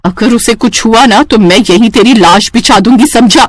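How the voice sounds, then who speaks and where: A woman voicing a brave, firm female police inspector in an animated story. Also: fairly high pitch, normal speed, dry recording, natural voice. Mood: defiant. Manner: firm, resolute.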